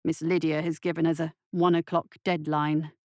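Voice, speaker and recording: A woman playing a calm, sinister male surgeon in an audiobook, polite and soothing, with a measured, softly commanding manner. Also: deep voice, no room sound, studio quality, pitched below the performer's own voice, lightly acted. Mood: composed.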